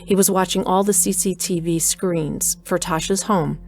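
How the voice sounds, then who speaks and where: A woman acts a determined mother and doctor telling her story in a scripted audio drama. Her voice sits slightly low, stealthy and resolute, with faint music behind it. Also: steady tone, normal speed, a little mellow, close to an everyday voice. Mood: measured suspense. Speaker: narrator and lead actor.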